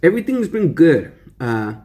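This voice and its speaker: A man's voice: fairly high pitch, sing-song, moderate pace, mellow. A young man chatting casually to the camera in an eating-show vlog, slangy and rambling with lots of fillers. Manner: laid-back, slangy, rambling with many fillers. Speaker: mukbang vlogger.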